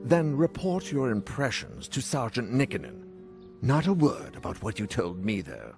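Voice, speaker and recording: A man voicing a formal noble lord of a frontier town in a video game, with a measured, probing manner. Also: mid-range voice, sing-song, gravelly, a little mellow, faint music behind. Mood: grave, courteous. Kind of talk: video game cutscene acting.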